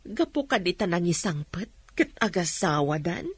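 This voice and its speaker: An actress playing a calm, trusting mother of grown sons in a radio drama, patient and questioning. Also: lowish voice, normal speed, husky, no room sound. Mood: defensive.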